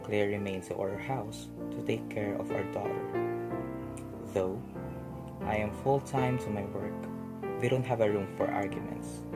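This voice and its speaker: A man playing a grieving widowed husband and father recounting his marriage in an amateur radio drama. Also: fairly low pitch. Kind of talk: slow narrated testimony in amateur radio drama. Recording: loud piano music over voice. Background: piano music.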